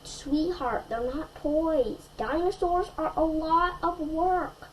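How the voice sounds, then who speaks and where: A woman's voice: sits high, fairly even intonation, takes it slowly, a little hiss or hum, voice pushed up, poor audio. A woman voicing an exasperated but loving mother in a children's storybook, protesting firmly. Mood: gently firm. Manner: protesting, firm.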